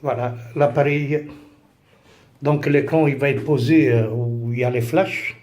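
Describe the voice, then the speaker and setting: Slow hesitant man, native Italian speaker. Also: mid-range voice, fairly even intonation, a little mellow. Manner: hesitant, halting, many fillers and restarts. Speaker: vlogger reviewing camera gear. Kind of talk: casual product tutorial.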